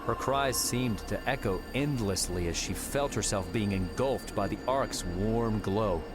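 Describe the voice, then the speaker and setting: A man's voice: fairly low pitch, unhurried. A man reading an audiobook in a dramatic storytelling style. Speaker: audiobook narrator. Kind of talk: dramatic scripted narration. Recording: music under the voice. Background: music.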